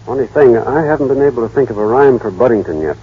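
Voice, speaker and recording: A man's voice: mid-range voice, animated, fast talker, breathy edge. Naive small-town man, muffled old radio. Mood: curious, wary. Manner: artless, plain small-town talk. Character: naive, plainspoken small-town young man, newly rich. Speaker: radio actor. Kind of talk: 1930s-40s radio drama acting. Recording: muffled, band-limited old radio broadcast.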